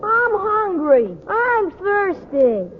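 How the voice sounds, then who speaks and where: A woman's voice: very high-pitched, very animated, very slow, faint music behind, exaggerated voice. A woman playing a bratty, blasé little boy with whiny demands and sarcastic wisecracks, in old radio comedy style. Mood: whiny, demanding. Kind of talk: 1940s-50s radio comedy acting. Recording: very noisy, tinny old radio recording.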